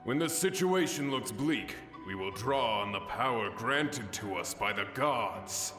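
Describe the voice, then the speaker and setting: A man's voice: medium pitch, animated, measured pace, close to an everyday voice. A man voicing a rousing samurai leader. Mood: devoted. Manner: stirring, devoted and formal. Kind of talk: game voice acting. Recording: roomy reverb over game music.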